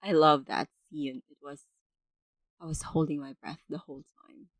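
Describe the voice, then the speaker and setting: Low-voiced woman reacting to a game. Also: steady tone, moderate pace, no room sound. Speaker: gaming streamer. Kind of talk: casual gameplay reaction commentary.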